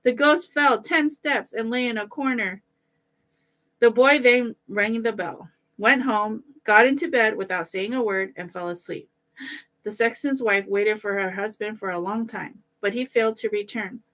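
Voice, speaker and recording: A woman reading a fairy tale aloud in an informal, animated way. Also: fairly high pitch, a little slow, low-quality recording. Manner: animated, stops to correct slips and comment. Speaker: reader of a storybook.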